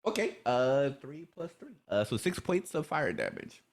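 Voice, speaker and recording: A man casually narrating his moves as a player in a tabletop game, measured and hesitant. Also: medium pitch, huge pitch swings.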